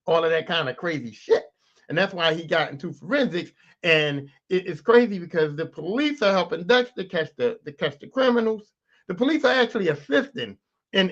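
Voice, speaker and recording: A man hosting his own podcast, raspy this morning, talking casually and with plenty of swearing straight to his listeners. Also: a little high, dull audio. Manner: profane, animated, talks straight to listeners. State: raspy, clearing throat. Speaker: podcast host. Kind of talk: casual solo podcast rant.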